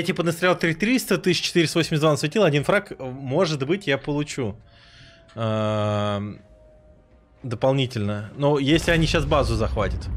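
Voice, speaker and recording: Rambling excitable male game streamer. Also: mid-range voice. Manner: excitable, rambling, swears, jumps between chatting and reacting to the game. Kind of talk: live gameplay commentary and chat.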